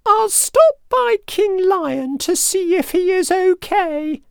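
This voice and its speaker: A man voicing a tiny, timid male mouse in a squeaky falsetto for a children's storybook. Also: expressive, slow, vocal fry, dry recording, clean studio sound, full character voice. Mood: caring.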